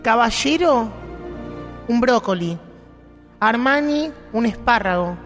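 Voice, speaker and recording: Man, dry delivery, long reverb, soft music. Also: very high voice, expressive, slow pace. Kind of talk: comic list reading.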